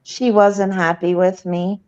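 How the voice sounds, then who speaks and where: A woman's voice: mid-range voice, flat delivery, slow, a little mellow. A woman chatting casually on a livestream, with blunt language and some swearing, heard through dull lo-fi audio. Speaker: livestream show participant.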